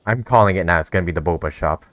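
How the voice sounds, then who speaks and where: A man's voice: deep voice, racing, a bit bright, no room sound. A man giving casual, joking commentary as he reacts to a game he is playing. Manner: joking, giggly. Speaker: gaming content creator.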